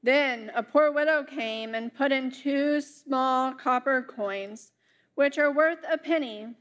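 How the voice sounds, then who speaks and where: A young woman, a summer intern, reading scripture and preaching a sermon in a church, with a slow, careful delivery. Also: high voice, a bit bright, highs cut off. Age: young adult. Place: church sanctuary.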